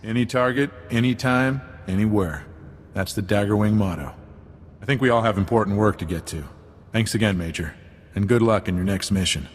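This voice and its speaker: A man's voice: fairly low pitch, fairly quick, lightly acted. A man acting an earnest, proud starfighter officer with a military manner in a video game cutscene. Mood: grateful, resolute. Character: grateful, proud starfighter squadron officer, stubborn and loyal.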